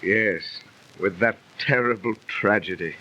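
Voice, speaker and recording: An actor playing a cautious, superstitious soldier in a theatrical 1930s radio drama. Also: male voice, fairly low pitch, a little slow, pushed and bright. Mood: subdued. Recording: muffled, noisy old 1930s radio recording.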